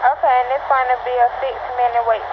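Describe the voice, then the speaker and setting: A woman taking orders at a fast food drive-thru, brisk and polite in a routine way, heard through a headset picked up by a radio scanner. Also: on the high side, little pitch movement. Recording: tinny scanner radio feed with loud static.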